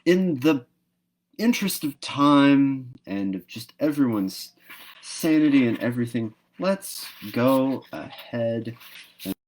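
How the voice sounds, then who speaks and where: A man's voice: medium pitch, animated, takes it slowly, darkish tone, rough recording. A man hosting a live read-aloud, moving between casual talk and storybook narration. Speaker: host reading a book aloud.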